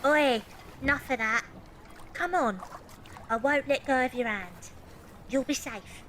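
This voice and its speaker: A woman's voice: high-pitched, unhurried, fairly bright tone, a little hiss or hum. A woman playing a defiant young Victorian match girl who used to be in the circus, plain-spoken, in an audio drama. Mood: coaxing. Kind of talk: fan audio drama acting and first-person narration. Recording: music behind the voice.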